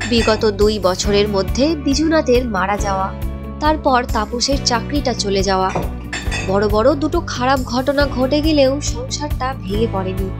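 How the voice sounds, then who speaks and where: Female story narrator, higher-pitched voice, scripted delivery, clear music bed. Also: lively intonation, unhurried.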